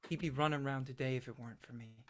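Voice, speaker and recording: A man's voice: mid-range voice, fairly even intonation, very fast, fairly bright tone, natural voice. An actor playing a troubled, literal-minded rural young man in a stage play reading, stammering and repeating his words. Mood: agitated, insistent.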